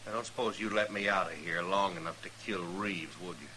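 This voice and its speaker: An actor playing a bitter, defiant jailed outlaw, curt and surly, in 1950s radio western style. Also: male voice, sits low, brisk, pushed and bright, rough recording. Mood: bitter, resigned. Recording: hissy old radio recording.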